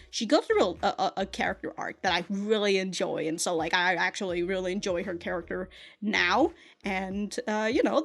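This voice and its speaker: Rambling, casual woman over background music. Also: medium pitch. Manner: rambling, often repeats and restarts phrases, swears casually. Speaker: YouTube anime and manga reviewer. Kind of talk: casual, unscripted review chat.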